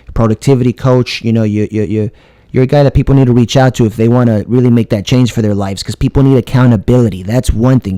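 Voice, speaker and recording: Mellow-voiced podcast host, dry sound. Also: male voice, medium pitch, no room sound. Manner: encouraging, affirming interjections. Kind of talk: casual podcast interview.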